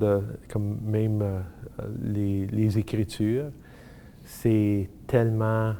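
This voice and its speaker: A man with a Franco-Manitoban accent giving a halting personal testimony. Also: fairly low pitch, dark tone, a little hiss or hum. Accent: Franco-Manitoban French. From Manitoba, Canada. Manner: halting, searching for words. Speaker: interviewee.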